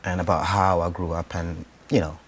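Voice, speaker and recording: Low-voiced man, hesitant. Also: flat delivery, moderate pace, slight background noise. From Rwanda. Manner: hesitant, thinking aloud. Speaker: actor-writer being interviewed. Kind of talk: conversational interview answers.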